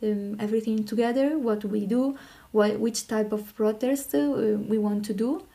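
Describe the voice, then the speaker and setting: A woman from Italy with an Italian accent, talking as a podcast guest in halting, hesitant phrases. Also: fairly high pitch, dark tone. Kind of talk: informal interview talk.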